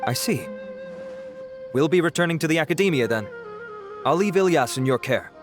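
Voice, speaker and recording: A man acts a composed, businesslike official in a video game. His voice is fairly high with lively movement, and his wording is formal and polite. Music is clearly heard behind. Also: normal speed, natural voice. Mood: businesslike, curious. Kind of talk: video game character dialogue.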